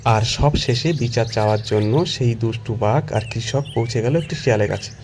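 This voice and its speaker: A man narrating a scripted children's story in an unhurried storytelling manner. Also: mid-range voice, over music.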